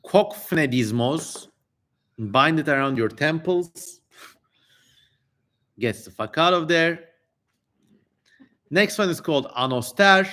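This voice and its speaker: A man's voice: a little high, bright, forceful tone. A man doing a dramatic live reading of an old text, theatrical on strange names, with casual, irreverent, sweary asides. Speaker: streamer reading an ancient text aloud.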